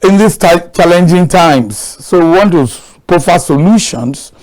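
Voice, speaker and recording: A man's voice: high voice, animated, a little slow, a little mellow, low-quality recording. A man with a Nigerian accent, speaking as a guest on a talk show in an emphatic, repetitive way with folksy phrasing.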